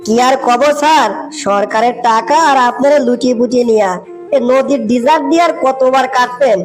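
Woman as a high-pitched, cheeky male underling. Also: a little fast, soft background music, close to an everyday voice. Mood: needling, complaining. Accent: northern Bangladeshi. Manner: needling, deferential yet challenging. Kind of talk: comic cartoon dialogue.

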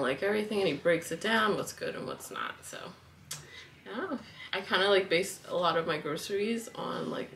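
Chatty woman, lowish voice, noisy kitchen. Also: creaky. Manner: chatty, loose, many fillers and likes. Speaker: wellness vlogger. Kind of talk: casual grocery haul vlog. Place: home kitchen, indoors. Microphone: camera on the counter, moving in and out of frame.

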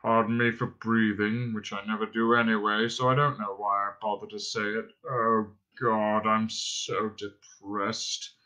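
A man voicing a gloomy, bored, self-pitying robot in a droning, muttering, sarcastic deadpan, reading from a storybook. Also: medium pitch, measured pace, low-quality recording. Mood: despairing self-pity.

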